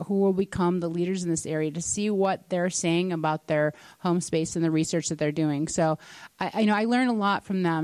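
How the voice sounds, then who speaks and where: A woman educator and editor speaking at a panel in a loose, conversational way with pauses and restarts. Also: lowish voice, a little mellow, no room sound. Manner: conversational, many fillers and restarts. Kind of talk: panel talk.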